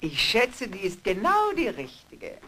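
Horror radio villainess: bossy, menacing, swooping slow delivery, faint background noise. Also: female voice, mid-range voice, huge pitch swings, sharp tone, heavily acted. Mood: sly, scheming. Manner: slow, prodding, with trailing questions. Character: menacing, bossy woman ordering her dim accomplice Olaf. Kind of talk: German horror radio play acting.

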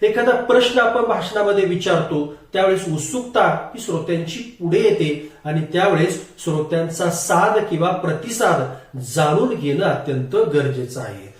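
High-voiced Maharashtrian man lecturing, very echoey. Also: animated. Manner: formal, explanatory, measured teacher-like phrasing. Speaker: public speaking coach, channel host. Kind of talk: instructional lecture to camera. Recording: very echoey room, distant microphone.

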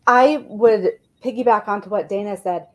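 A woman's voice: medium pitch, normal speed. A woman teacher speaking emphatically and articulately in a video-call panel discussion. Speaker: humanities and language teacher. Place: living room, on a group video call.

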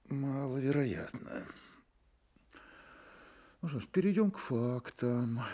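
A man's voice: medium pitch, vocal fry, husky, full character voice. An actor playing a private detective in a radio drama, wry and offhand as he probes and muses.

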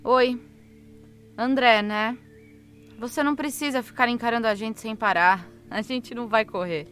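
A woman's voice: fairly high pitch, normal speed, fairly bright tone, soft background music, lightly acted. A Brazilian woman acting in an audio drama as a curious, blunt journalist with an informal way of talking.

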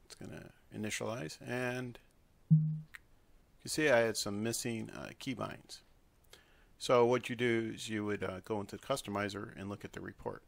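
Male tutorial presenter, gravelly, halting and unscripted, in a dry close recording. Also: lowish voice, steady tone, no echo.

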